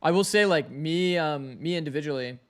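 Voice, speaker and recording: A man with a slightly raspy, somewhat high voice talks through a match replay for his stream chat. He chatters on loosely, thinking aloud and circling back on his own points. The sound is close, from a desk mic. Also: normal speed. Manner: talkative, thinks aloud, chats with viewers. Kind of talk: casual match analysis.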